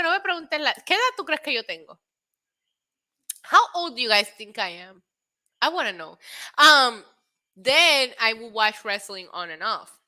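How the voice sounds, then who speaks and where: A woman in her late thirties hosting a casual wrestling live stream, excitable and a little tipsy, laughing, swearing and dropping in Spanish phrases as she answers chat. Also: on the high side. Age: 30s. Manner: frequent laughter and profanity. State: tipsy from drinks. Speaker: wrestling show host.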